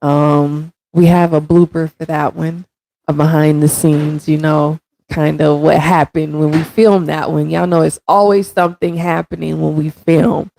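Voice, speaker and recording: A woman in her thirties hosting a casual livestream, congested and with a raspy throat, chatting directly with viewers and reading their comments. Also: deep voice, level tone, a little slow, warm tone, dry recording, rough recording. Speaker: stream host.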